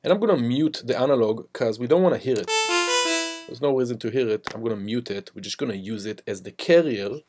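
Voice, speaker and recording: Man, medium pitch, informal tutor, step-by-step software tutorial, instructional delivery. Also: fairly quick, over music. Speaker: music production instructor. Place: voice-over to a screen recording.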